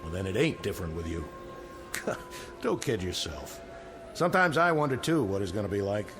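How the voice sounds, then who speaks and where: An actor playing a tough, streetwise death row convict, blunt and colloquial, in mid-century television drama style. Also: male voice, lowish voice, big pitch swings, normal speed. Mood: wary, uneasy. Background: dramatic music.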